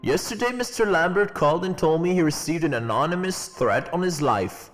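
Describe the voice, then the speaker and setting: A man's voice: fairly high pitch, animated, a little fast, faint music behind, close to an everyday voice. A man voicing a frightened businessman in a comic-book drama, anxious yet formal in his speech. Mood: fearful. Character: frightened male businessman fearing for his life.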